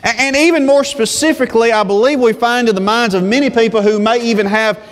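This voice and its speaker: Male preacher, thirties, high voice, unhurried sermon delivery, lapel mic in a reverberant sanctuary. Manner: slow, deliberate pulpit delivery. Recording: long church reverb.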